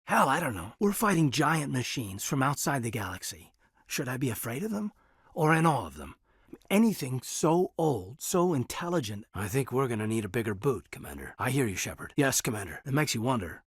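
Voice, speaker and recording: Husky earnest army officer in a space game, thoughtful n respectful, pitch moves around, very dry sound. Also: male voice, sing-song, no echo. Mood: pondering. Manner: sincere, measured, respectful. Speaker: video game character, soldier. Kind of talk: video game voice acting, conversational dialogue.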